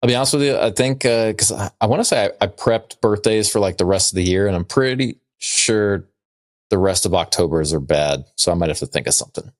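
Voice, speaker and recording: A man's voice: lowish voice, animated, a little fast. A man co-hosting a podcast, reading out trivia and cracking jokes in a dry, casual way. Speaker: podcast co-host.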